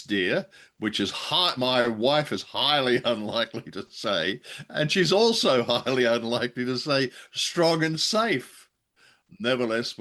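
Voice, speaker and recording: A man's voice: mid-range voice, a bit bright. A man co-hosting a podcast in casual, wry and playful conversation. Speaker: podcast co-host. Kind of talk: casual, jokey chat.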